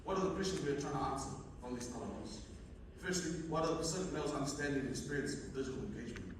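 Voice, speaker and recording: A young man from Fiji with a Fijian accent gives a conference presentation as a student researcher, reading in a halting way with stumbles. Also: fairly high pitch, animated. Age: young adult. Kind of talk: conference presentation, partly read from notes. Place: indoor conference room at a university. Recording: echoey hall, distant mic, noisy room.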